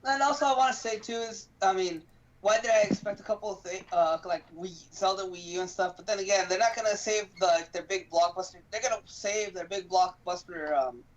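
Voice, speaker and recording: A man's voice: high voice, brisk. A man co-hosting a gaming livestream, chatting casually in a blunt, offhand way. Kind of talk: casual chat. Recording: muffled, lo-fi stream audio.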